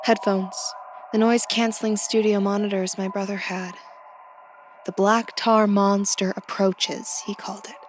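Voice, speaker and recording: A woman's voice: mid-range voice, flat delivery, a little slow, slight background noise, lightly acted. Actress as a curious, mild young female recruit. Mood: dazed, drifting. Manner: polite, hesitant, inquisitive. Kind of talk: audio drama dialogue. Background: music.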